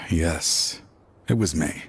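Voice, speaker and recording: A man playing a regretful, weary older man turned desperate villain in a video game, sighing and deliberate in his delivery. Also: rumbling low, exaggerated voice. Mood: resigned. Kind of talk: dramatic video game voice acting.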